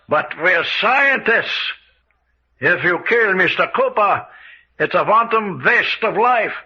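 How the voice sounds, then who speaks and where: An actor playing a calm, stern, principled doctor who commands his men formally and precisely in an old radio mystery. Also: sits high, slow pace, husky, heavily acted. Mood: firm, urgent. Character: calm, stern, principled male doctor leading scientist captors. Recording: muffled old radio recording.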